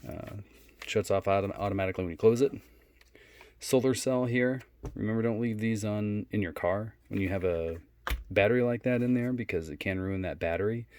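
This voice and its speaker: A man giving a casual, unscripted product demonstration in a low-key, halting way. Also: darkish tone, slight background noise. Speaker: product reviewer.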